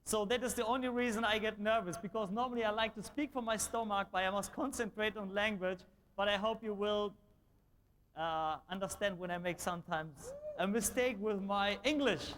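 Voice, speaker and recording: A man's voice: high-pitched, moderate pace, fairly bright tone. German man speaking on a big stage. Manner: enthusiastic, slightly nonstandard English. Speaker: motivational speaker at a sales event. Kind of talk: stage speech. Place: large event hall on stage.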